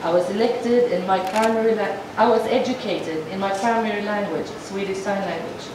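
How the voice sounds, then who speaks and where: A woman voicing a formal speech to an assembly as a sign language interpreter, measured and halting, with frequent restarts. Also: medium pitch. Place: large assembly hall. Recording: echoey hall from far off, noisy.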